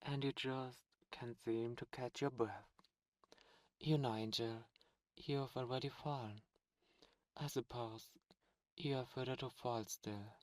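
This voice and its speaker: A man voicing a seductive ancient demon prince, a fallen angel, speaking softly and slowly in an ASMR roleplay. He coaxes and tempts, close to the microphone. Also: medium pitch, fairly even intonation, dry recording, close to an everyday voice, poor audio. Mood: tempting. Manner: soft, slow, coaxing and tempting.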